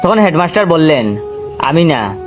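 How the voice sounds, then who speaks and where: A man's voice: fairly high pitch, very animated, normal speed, music in the background. A man narrating a scripted comic story as a storyteller.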